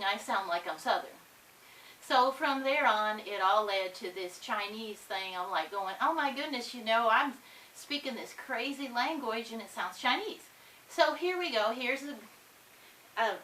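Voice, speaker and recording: A woman's voice: a little high, pushed and bright, roomy sound. A woman chatting casually in a home video, telling a rambling story, recorded from some distance in a room with light hiss. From Iowa. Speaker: vlogger.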